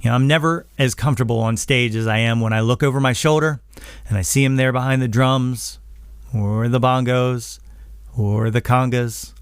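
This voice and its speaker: A man's voice: medium pitch, clean tone, no echo, clean studio sound. A middle-aged American man hosting a podcast, effusive and affectionate, moving between scripted introduction and casual interview chat. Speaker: podcast host.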